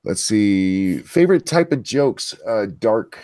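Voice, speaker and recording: A man with a deep voice hosting a casual livestream chat, reading viewer questions in an easygoing, crude, joking way. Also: expressive, slow, mellow, no echo, clean studio sound.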